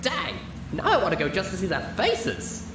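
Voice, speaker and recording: A woman's voice: medium pitch, huge pitch swings, normal speed. A woman voicing a brash, cocky young female pegasus pony with punchy, casual swagger in an audiobook reading. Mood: brash, eager. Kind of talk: character acting in an audiobook reading. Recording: roomy, distant mic with background noise.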